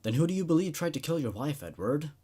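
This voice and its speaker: A man's voice: on the high side, very animated, fast, warm tone, breathy edge, pitched above the performer's own voice. A man voicing a curious, probing male psychiatrist in a horror story, with an inquisitive manner.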